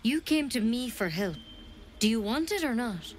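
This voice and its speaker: A woman's voice: a little high, sing-song, normal speed, a little hiss or hum. A woman playing a stern, worried healer in a video game, speaking in a grave and insistent manner. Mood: brisk, probing. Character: stern, worried female healer druid's apprentice. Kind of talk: video game dialogue acting.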